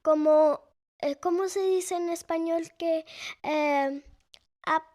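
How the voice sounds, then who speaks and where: A woman's voice: very high voice, fairly even intonation, takes it slowly, darkish tone. A young girl of almost nine being interviewed, answering hesitantly with many fillers while she searches for words. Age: child, almost 9. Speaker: interviewee, the host's daughter. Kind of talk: conversational interview.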